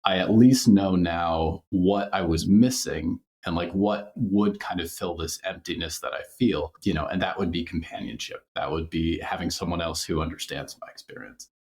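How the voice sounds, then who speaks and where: A man's voice: deep voice, moderate pace, few pauses, a little mellow. A man being interviewed as a podcast creator, talking conversationally and reflectively in long, winding sentences.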